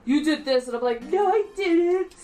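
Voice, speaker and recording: A woman's voice: sits high, animated, normal speed, mellow, slight background noise. A woman chatting casually and teasingly while watching a retro game being played. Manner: playful, teasing, giggly. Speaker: co-host watching a playthrough. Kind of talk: casual gaming chat.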